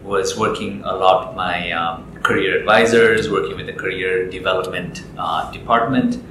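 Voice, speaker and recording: Low-voiced young man from Nepal. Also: moderate pace, background noise. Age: young adult. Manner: relaxed, rambling, frequent fillers and restarts. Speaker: interview guest. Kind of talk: casual interview chat. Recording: echoey room with loud music.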